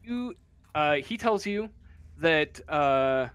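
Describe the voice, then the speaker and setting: A man narrating a tabletop role-playing game as game master, in halting, hesitant fragments. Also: on the high side, expressive, fairly bright tone, clear, resonant tone, dry recording.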